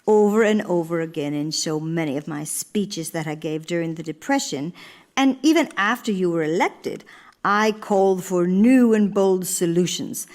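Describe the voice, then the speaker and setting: A woman playing an earnest, idealistic older First Lady in live radio theater, speaking in a measured, oratorical manner. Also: lowish voice, a little mellow, lightly acted. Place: live stage before a studio audience in a church.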